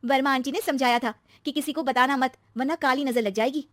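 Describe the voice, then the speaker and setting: A woman voicing an excitable, chatty young married woman in a dramatized story, expressive and easily aggrieved. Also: high voice, fairly quick, no echo, voice pushed up. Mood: worried, defensive. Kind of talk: acted story dialogue.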